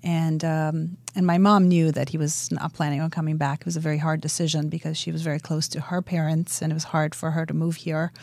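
A woman from Romania with a low-pitched voice recounts her life story as a radio guest. She speaks in a measured way, often pausing on "um", and the sound is dry and close. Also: level tone, vocal fry, darkish tone, dry recording. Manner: measured storytelling with frequent "um" fillers. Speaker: guest, fertility doctor.

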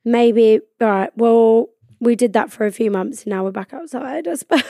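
Croaky, chatty woman podcaster. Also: fairly high pitch, normal speed, mellow, no echo, studio quality. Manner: chatty, swears freely, tells stories about herself. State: croaky from a cold. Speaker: podcast host. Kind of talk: casual chat. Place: podcast studio.

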